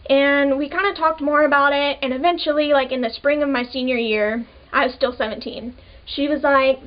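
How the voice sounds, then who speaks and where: A woman's voice: high voice, steady tone, normal speed, highs cut off. A twenty-year-old woman telling her own story in a casual, chatty way, full of "like" fillers. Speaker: vlogger. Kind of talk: personal storytelling to camera.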